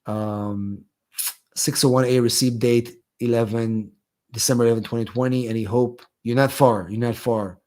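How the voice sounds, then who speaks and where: A man's voice: medium pitch, fairly even intonation, normal speed, a little mellow. A man, an immigration attorney, hosting a live question-and-answer stream, reading out viewers' questions and answering them in a loose, conversational way with many fillers. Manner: conversational, many ums and restarts, reads viewer questions aloud.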